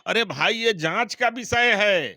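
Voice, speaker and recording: A man's voice: high-pitched, animated, a little slow, sharp tone, no room sound, studio quality. A man speaking as a party spokesperson in an interview, emphatic and accusatory, often repeating his points. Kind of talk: political interview answers.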